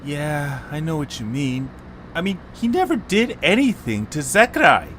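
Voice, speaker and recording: A man voicing an easygoing, sensible young creature boy with animated, casual delivery in an amateur cartoon audio drama. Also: a little high, measured pace, slight background noise. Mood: thoughtful.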